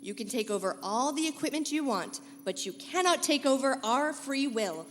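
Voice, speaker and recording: Lady doing a spunky sarcastic girl reporter, radio play, highish voice, echoey room w hum. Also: expressive, moderate pace. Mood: indignant. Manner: sassy, firm, sarcastic. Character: feisty, defiant young woman reporter and crew member. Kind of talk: live pulp sci-fi radio comedy acting. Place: live convention stage. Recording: echoey hall, light hum.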